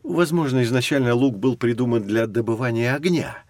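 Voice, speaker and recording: A man's voice: mid-range voice, a little mellow, no echo, natural voice. Dry-recorded man as a knowledgeable adult guide. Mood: explanatory. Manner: explanatory, measured, instructive. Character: knowledgeable adult guide leading children through prehistory. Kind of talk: scripted educational audio drama narration.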